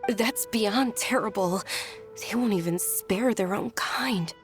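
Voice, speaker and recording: A woman voicing a gentle, concerned young noblewoman in a video game, polite, earnest and soft-spoken. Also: mid-range voice, slow, faint music behind, natural voice. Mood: appalled. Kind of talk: video game voice acting, story dialogue.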